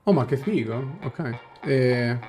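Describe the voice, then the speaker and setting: Streamer: young Italian male, medium pitch, casual halting live translation, faint music behind. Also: animated, a little mellow. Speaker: game streamer. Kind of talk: casual live reaction, translating game dialogue aloud. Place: small indoor room. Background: faint game music.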